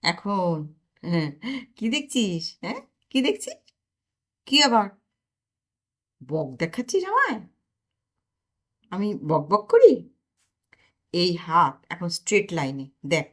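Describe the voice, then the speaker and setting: A woman's voice: fairly low pitch, huge pitch swings, takes it slowly, long pauses, heavily acted. A woman voicing a boastful young man who loves exercise and lectures his friend. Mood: showing off. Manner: lecturing, showing off.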